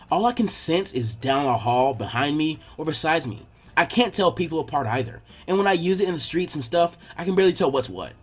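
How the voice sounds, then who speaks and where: A man's voice: a little high, husky. A man voicing an earnest, eager young boy ninja trainee with a candid, informal way of talking in a story reading. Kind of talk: fan fiction character dialogue.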